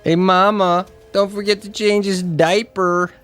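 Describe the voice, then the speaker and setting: A man's voice: sits high, flat delivery, measured pace, a bit bright, faint music behind. Sneering male bully. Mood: mocking. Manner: taunting, sneering. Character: mean, mocking male bully. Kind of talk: radio drama acting.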